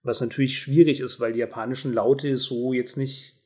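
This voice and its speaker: Guy podcast host, mid-pitched voice, explains stuff casually. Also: fairly even intonation, normal speed.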